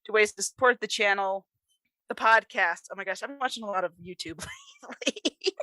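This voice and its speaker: Bright-voiced American woman podcaster. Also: mid-range voice, brisk, no room sound, rough recording. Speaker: podcast co-host. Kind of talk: casual chat.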